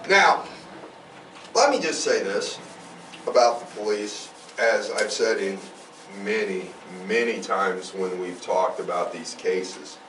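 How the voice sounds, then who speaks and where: A man's voice: lowish voice, expressive, takes it slowly, a bit bright. A man hosting a true-crime podcast, telling cases informally with emphatic, opinionated commentary. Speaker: podcast host. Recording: roomy, distant mic, faint noise.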